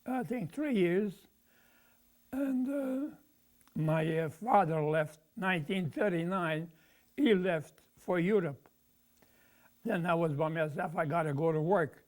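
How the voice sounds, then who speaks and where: A man speaks in an oral history interview. His voice sits high for a man, and he talks haltingly, with many pauses and fillers. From Croatia. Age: 90s. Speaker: veteran being interviewed.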